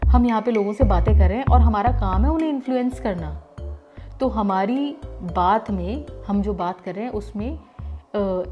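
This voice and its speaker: A woman's voice: medium pitch, warm tone, muffled recording. A middle-aged woman from Delhi whose first language is Gujarati, a radio jockey explaining voice training to listeners in a conversational way. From Delhi, India. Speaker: radio jockey teaching voice work. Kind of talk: voice-training lesson.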